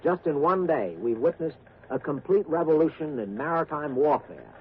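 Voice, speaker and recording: Earnest radio war correspondent male actor, slightly high voice, emphatic and halting, narrow vintage sound. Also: lively intonation, close to an everyday voice. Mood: urgent, emphatic. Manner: hesitant, emphatic, grave. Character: earnest war correspondent giving grave expert analysis. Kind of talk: 1940s radio acting as news commentary. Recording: tinny, noisy vintage radio recording.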